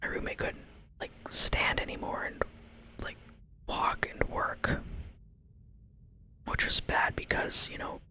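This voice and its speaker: A woman's voice: slow pace, pauses often. Young woman whispering a rambling story. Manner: soft whisper, rambling with many asides. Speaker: ASMR storyteller. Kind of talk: whispered ASMR storytime while gaming.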